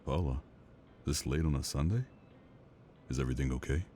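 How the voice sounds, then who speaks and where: Calm devoted partner and dad, audio drama, super deep dark voice, reassuring. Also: male voice, brisk, a little hiss or hum. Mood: concerned. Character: steady, devoted husband-like partner and secret father. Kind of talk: intimate audio drama acting.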